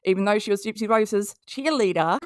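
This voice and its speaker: A woman's voice: mid-range voice, a little fast, no room sound. Blunt, mocking Australian woman, dry close sound. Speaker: commentary video host. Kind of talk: casual opinion commentary, reading posts aloud.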